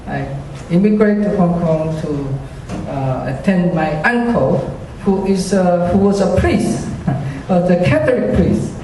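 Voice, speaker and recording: A man with a high, mellow voice tells his faith story slowly, pausing often on fillers and chuckling at himself. He sounds distant from the microphone in a large, strongly echoing church hall. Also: a little hiss or hum. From China. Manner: hesitant, many fillers, chuckles often, self-deprecating. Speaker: speaker giving a personal faith testimony. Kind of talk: church testimony to a congregation. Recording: echoey hall, speaker far from mic.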